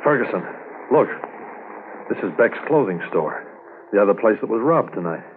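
An actor playing a keen, businesslike police investigator and dog handler in a brisk, earnest 1950s radio drama style. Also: male voice, fairly low pitch, animated, lightly acted. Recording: noisy, tinny 1950s radio transcription.

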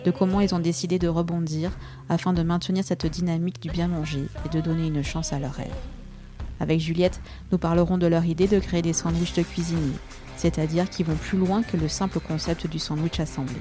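A woman's voice: lowish voice, little pitch movement, fairly quick, soft background music. A woman hosting a food podcast, reading an introduction and then asking questions in a curious, casual way. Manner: warm, curious questioning with fillers. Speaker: podcast host.

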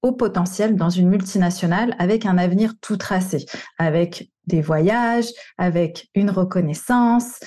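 Mellow-voiced French woman telling her story. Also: lowish voice. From Paris region, France. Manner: candid and conversational, slangy. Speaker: coach and podcast host. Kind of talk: personal storytelling monologue.

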